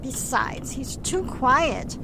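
A woman playing a high-voiced, scolding rooming-house mother. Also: sing-song, takes it slowly, full character voice. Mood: stern, forbidding. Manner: scolding, melodramatic. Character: stern, suspicious mother who runs a rooming house. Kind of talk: radio drama acting. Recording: clear background noise with low rumble.

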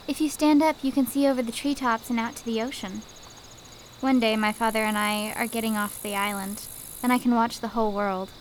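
A woman's voice: a little high, steady tone, a little fast, slight background noise, close to an everyday voice. A woman playing a plucky, confident young huntress in a radio play, matter-of-fact and teasing. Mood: friendly, determined. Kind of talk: radio theater acting.

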